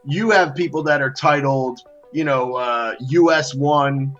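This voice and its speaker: A man co-hosting a casual political talk show, animated, repeating words and saying "you know" a lot. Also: mid-range voice, unhurried, dull audio, soft background music. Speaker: podcast co-host.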